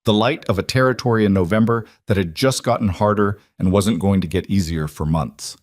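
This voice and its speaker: Male storyteller, fairly low pitch, deliberate scripted western narration, dry close recording. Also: no echo. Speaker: audiobook-style story narrator.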